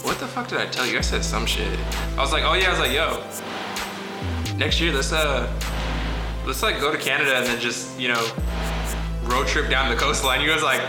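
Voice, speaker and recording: Male host, slangy and playful interviewer, animated storyteller, echoey room with music behind. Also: mid-range voice, moderate pace, long pauses. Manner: animated storytelling, teasing, quotes people in slangy talk. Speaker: podcast host interviewing a friend. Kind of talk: casual bantering chat with slang. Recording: roomy echo, loud music behind.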